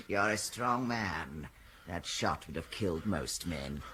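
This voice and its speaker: An actor playing a coolly boastful, mocking assassin in a radio drama. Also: male voice, deep voice, lively intonation, pushed and bright, husky, exaggerated voice. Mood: taunting, gloating. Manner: mocking, deliberate, chuckling. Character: gloating male assassin, taunting and coolly boastful.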